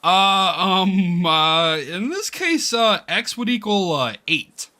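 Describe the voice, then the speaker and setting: A man voicing a sleepy, embarrassed high school boy who narrates a visual novel in a hesitant, self-conscious way. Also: expressive, slow pace, bright, forceful tone, full character voice. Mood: flustered, hesitant. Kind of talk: visual novel read-aloud.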